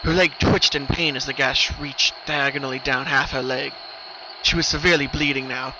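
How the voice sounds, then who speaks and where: A man's voice: steady tone, normal speed. A man reading a scripted horror story as a dramatic narrator. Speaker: narrator of horror fan fiction. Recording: noisy recording, rumbling ambient background.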